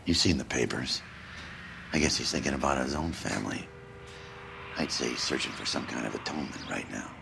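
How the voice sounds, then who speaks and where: Gravelly, curt older detective. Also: male voice, rumbling low, a little fast, long pauses, background noise, heavily acted. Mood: somber. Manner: curt, weary. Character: gruff, jaded older male arson detective partner. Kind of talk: naturalistic video game acting.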